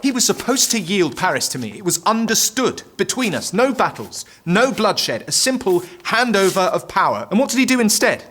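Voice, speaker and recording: A man's voice: fairly high pitch, a bit bright. An actor playing a frustrated medieval French king in an audio drama, formal, imperious and bitter. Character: frustrated, indignant young French king in the fifteenth century.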